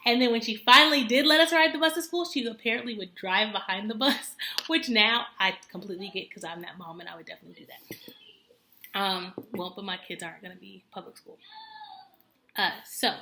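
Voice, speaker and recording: A woman's voice: medium pitch, fairly even intonation, brisk, pushed and bright, a little hiss or hum. A woman in her thirties talking to camera in an earnest, chatty way, with many fillers and self-corrections. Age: 30s. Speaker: homemaker vlogger. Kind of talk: casual talk to camera. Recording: echoey room.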